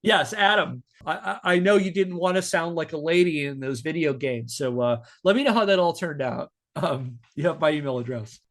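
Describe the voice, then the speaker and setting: A man hosting a podcast, telling a researched story to friends in a partly scripted, measured way. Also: a little high. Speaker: podcast host.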